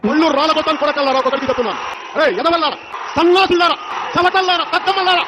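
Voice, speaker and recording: A man's voice: very high-pitched, quick pace. A man in a comic dialogue clip, scolding abusively. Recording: very noisy clipped audio.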